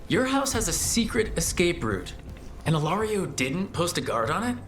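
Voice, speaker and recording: An actor voicing a sceptical adventuring hero in a video game, direct and questioning with a wry edge. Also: male voice, high-pitched, big pitch swings, moderate pace, a bit bright, full character voice. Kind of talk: video game dialogue acting. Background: music.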